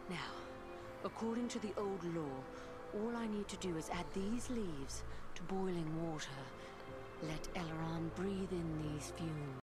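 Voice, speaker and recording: Low-voiced, gracious elven lady. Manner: formal, measured, archaic phrasing. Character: gracious elven lady healer, calm and caring. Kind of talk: video game cutscene acting. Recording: loud music behind the voice.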